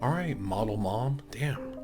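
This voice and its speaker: A man with a low-pitched voice hosts a game playthrough. He moves between offhand commentary and storytelling narration of on-screen text. Prominent game music plays underneath his voice. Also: animated, unhurried. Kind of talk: casual gaming commentary and read-aloud narration.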